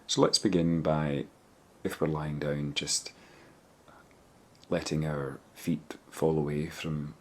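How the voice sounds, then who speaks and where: A man with an extremely low, slightly husky voice guides a meditation. He speaks in a gentle, measured way, leaving pauses between short phrases. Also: level tone. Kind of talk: guided body scan meditation.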